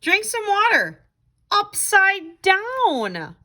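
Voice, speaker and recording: Woman playing a high-pitched, bossy storybook ghost. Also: wildly swooping pitch, drawn-out words, pushed and bright, exaggerated voice. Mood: bossy, urgent. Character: ghost friend bossily prescribing hiccup cures. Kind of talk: picture book read-aloud.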